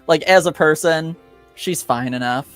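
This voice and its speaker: A man improvising tabletop game narration as game master, with a hesitant, halting delivery. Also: fairly high pitch, fairly bright tone, clean tone, soft background music.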